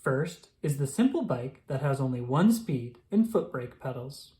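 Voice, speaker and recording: A narrator reading a children's picture book aloud in a clearly enunciated, instructional storytelling manner. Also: mid-range voice, very animated, unhurried, warm tone.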